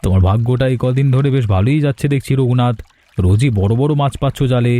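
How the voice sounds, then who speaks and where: A man voicing a kindly elderly village man in an animated cartoon, speaking gently and fatherly. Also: mid-range voice, fast talker, natural voice. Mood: friendly. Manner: gentle, fatherly, calls others "ma".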